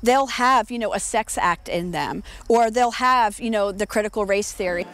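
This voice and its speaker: A woman's voice: mid-range voice, normal speed, a bit bright. A woman, a parent activist, answering questions in an interview.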